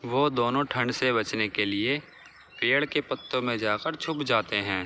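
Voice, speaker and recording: Casting: male storyteller for children's cartoon tales, bright forceful tone, slow measured pace, faint noise. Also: medium pitch. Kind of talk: scripted children's moral-story narration.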